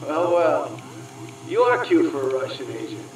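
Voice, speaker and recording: A man's voice: a little high, moderate pace, natural voice. A man playing a cocky, flirtatious American spy ghost in a radio play, with an American accent and a teasing drawl. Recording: echoey, distant, slightly noisy recording.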